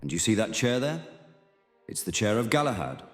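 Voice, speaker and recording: A man's voice: lowish voice, big pitch swings, normal speed. A man playing a stern veteran monster hunter knight in a fantasy audio roleplay, speaking formally and solemnly. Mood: grave, instructive. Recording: long hall reverb under music.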